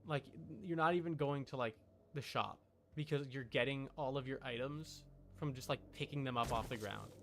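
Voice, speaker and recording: A man chatting casually while livestreaming a video game, rambling and thinking out loud in an unsure way. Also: mid-range voice, moderate pace, slight background noise.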